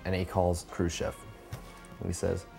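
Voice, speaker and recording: Casting: drunk guy, low voice, rambling profane storyteller, faint music behind. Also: monotone, measured pace, vocal fry, darkish tone. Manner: rambling, profane, trails off and restarts. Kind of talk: rambling drunken retelling of history.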